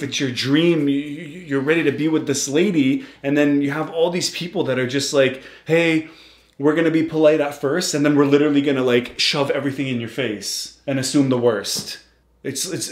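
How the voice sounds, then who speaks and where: Animated man riffing in an echoey room. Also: fairly high pitch. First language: English. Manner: animated, rambling, frequent restarts. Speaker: reaction show co-host. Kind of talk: casual reaction commentary.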